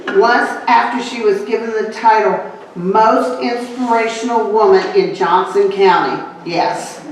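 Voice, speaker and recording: A woman reads a formal introduction for a guest speaker in a measured, even way. Her voice carries through a church hall with heavy echo, picked up from a distance, with a faint hiss behind it. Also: medium pitch. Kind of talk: reading a prepared introduction.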